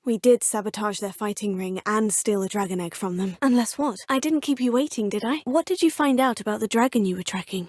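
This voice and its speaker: A woman's voice: fairly high pitch, darkish tone, no room sound, close to an everyday voice. A woman voicing a polite young witch student. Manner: courteous, composed, formal. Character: polite, determined young female wizarding student. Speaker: player character voice. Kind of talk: video game dialogue lines. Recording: dry studio booth.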